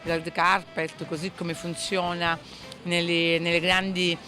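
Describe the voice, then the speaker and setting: Woman, photography instructor, explanatory interview answer, clear music behind. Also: fairly low pitch, level tone, slow, pushed and bright. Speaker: photography workshop teacher being interviewed.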